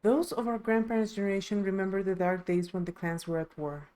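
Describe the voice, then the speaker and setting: A woman voicing a thoughtful, steadfast young villager in a fantasy game, measured and explanatory. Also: mid-range voice, steady tone, dark tone, lightly acted. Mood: grave.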